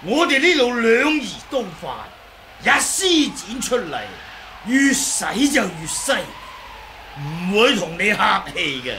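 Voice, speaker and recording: An actor playing a boastful, wily, comical old martial artist in a Hong Kong Cantonese radio drama, chattering and teasing in drawn-out phrases. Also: male voice, high voice, very animated, pushed and bright, a little hiss or hum, heavily acted. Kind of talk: 1980s radio drama acting.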